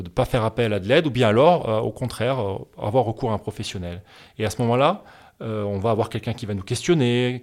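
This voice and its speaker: A man working as a wealth management advisor, answering interview questions in a measured, explanatory way with frequent hesitations. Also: fairly low pitch, a little mellow.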